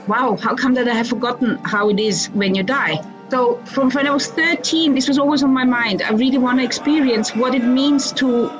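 A woman from Croatia, raised in Austria, talks reflectively as a podcast guest, often restarting her sentences. Her voice sits a little high. Music plays clearly beneath the conversation. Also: poor audio. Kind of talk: podcast interview.

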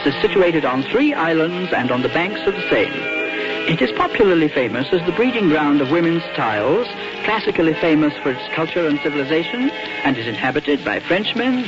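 A male narrator in an old radio drama, speaking in a droll travelogue style. His fairly high voice is lively in pitch. Loud music plays behind the dull recording. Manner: droll mock-travelogue delivery. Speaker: story narrator. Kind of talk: 1940s radio scripted narration.

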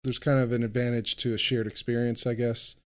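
A man's voice: medium pitch, level tone, a little fast, slight background noise. A man hosting a podcast, asking guests questions with plenty of fillers. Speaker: podcast host. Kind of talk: interviewing guests.

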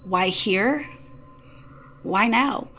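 A woman's voice: medium pitch, drawn-out words, sharp tone, a little hiss or hum, full character voice. An actress playing a skeptical, sardonic woman newcomer in audio fiction, blunt and questioning. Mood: probing.

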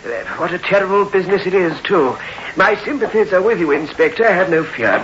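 An actor playing a weary, pious older vicar, speaking haltingly with lofty wording in a sixties radio drama. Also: male voice, high-pitched, lively intonation, a bit bright. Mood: genteel, musing. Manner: hesitant, faltering, lofty wording. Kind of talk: 1960s radio drama acting. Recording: dull, hissy old radio recording.

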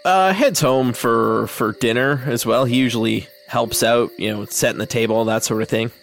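A man's voice: medium pitch, normal speed, creaky, a bit bright, a little hiss or hum. A man taking part as a player in a tabletop game, talking casually and hesitantly.

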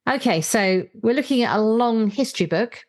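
A woman's voice: fairly high pitch, lively intonation, normal speed. A woman speaking as a podcast host, introducing and interviewing in an articulate, explanatory way.

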